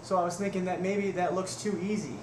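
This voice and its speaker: High-voiced young man, echoey home webcam. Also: fairly even intonation, a little fast. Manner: blunt, swears, strains and grunts through reps. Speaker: fitness vlogger. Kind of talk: casual workout vlog. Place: small room at home. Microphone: webcam at desk distance. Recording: echoey room, noisy webcam audio.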